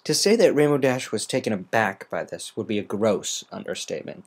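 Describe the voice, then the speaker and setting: A woman's voice: rumbling low, expressive, fairly quick, slightly rough. A woman narrating fan fiction as an amateur, in a semi-dramatic storytelling style.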